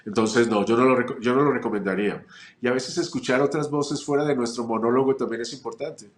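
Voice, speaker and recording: A man from Chile, a family constellations teacher, answering interview questions reflectively, with frequent pauses and restarts. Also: medium pitch, roomy sound. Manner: reflective, many fillers and restarts. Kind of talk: relaxed interview conversation.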